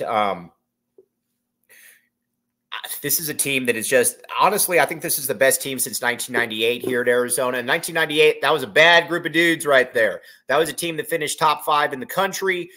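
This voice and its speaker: A man's voice: mid-range voice, fast talker, few pauses, pushed and bright. A man in his thirties hosting a solo sports podcast from a small room at home, rambling through a postgame reaction with many fillers and repeated phrases.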